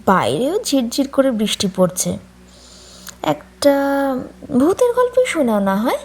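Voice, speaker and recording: A middle-aged housewife in an audio drama. She is high and lilting, slightly husky, with a playful, teasing way of talking. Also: huge pitch swings, measured pace, heavily acted. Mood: coaxing. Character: middle-aged Bengali housewife, teasing, hospitable sister-in-law. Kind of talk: audio story acting.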